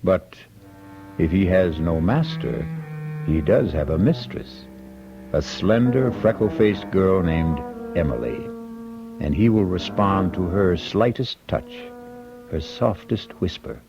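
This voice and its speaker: A man hosting an old-time radio drama with deliberate, evocative scripted narration. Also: sits low, expressive. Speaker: host and narrator. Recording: dull vintage radio recording. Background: prominent music.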